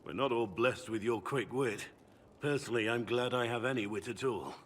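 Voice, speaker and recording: An actor playing a seasoned, middle-aged warrior in a video game, earnest and humble, telling his tale in a reflective, measured way. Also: mid-range voice, lightly acted. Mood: proud, animated. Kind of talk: video game dramatic dialogue.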